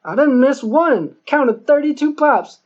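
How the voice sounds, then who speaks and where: Boastful gangster gunman acted by a male narrator, argumentative and slangy, pitched up, lo-fi audiobook. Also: lively intonation, a little slow. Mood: boastful, defensive. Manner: slangy, insistent street talk. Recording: dull lo-fi recording.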